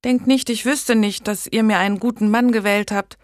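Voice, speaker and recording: A woman's voice: mid-range voice, steady tone, normal speed, no room sound, clean studio sound, pitched above the performer's own voice, close to an everyday voice. A woman voicing a pious young noblewoman who earnestly pleads with a king, in a storybook reading. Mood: earnest pleading. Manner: earnest, imploring.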